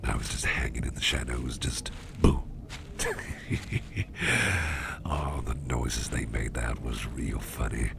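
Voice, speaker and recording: A man playing a mischievous businessman boss in an intimate ASMR roleplay, murmuring in a halting, gravelly half-whisper. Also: very deep voice, steady tone, noisy background, exaggerated voice. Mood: mischievous, amused. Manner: slow, halting, repeating phrases, softly chuckling. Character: mischievous, toothy businessman boss, affectionate and covert.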